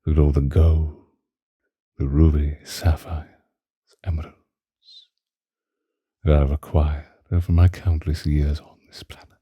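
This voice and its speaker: Male actor as ancient vampire lord for romantic ASMR: bottomless, unhurried, breathy voice, courtly and devoted, theatrical old-world diction. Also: extremely low pitch, little pitch movement, dry recording, dull audio, heavily acted. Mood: courtly, doting. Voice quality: breathy, husky. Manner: slow, theatrical, formal old-fashioned diction with lingering pauses. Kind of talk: romantic ASMR roleplay, whispered and intimate.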